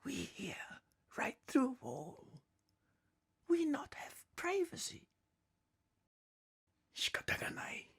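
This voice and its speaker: Man voicing a high, hushed immigrant mother. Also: huge pitch swings, gravelly, in a whisper, full character voice. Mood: hushed worry. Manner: halting broken English, hushed. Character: young immigrant mother, soft-spoken, practical, quietly defiant.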